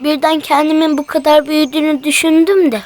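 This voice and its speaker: An actress voicing a curious, excitable young child in a cartoon, wide-eyed and eager with questions. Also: female voice, high-pitched, fast, natural voice. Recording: music playing behind the voice.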